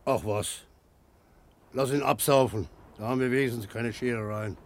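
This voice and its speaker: An actor playing a brusque, callous ship's captain with a formal, commanding manner in a 1960s German radio crime drama. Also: male voice, mid-range voice, fairly even intonation, moderate pace, lightly acted.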